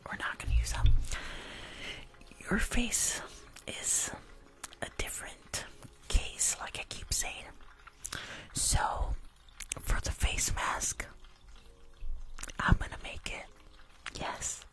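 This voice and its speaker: A woman's voice: slow pace, pauses often. A woman in her twenties doing ASMR roleplay close to the microphone, blunt and teasing, often repeating her phrases. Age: 20s. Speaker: ASMR performer. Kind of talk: whispered ASMR roleplay as a rude spa worker. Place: small room indoors at home. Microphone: close desktop condenser microphone.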